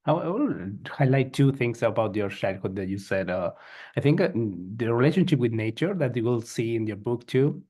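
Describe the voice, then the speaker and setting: A man's voice: mid-range voice, lively intonation, moderate pace, warm tone. A man hosting an interview in English, a second language for him, speaking hesitantly with many fillers. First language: Spanish. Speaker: host. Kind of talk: casual interview talk.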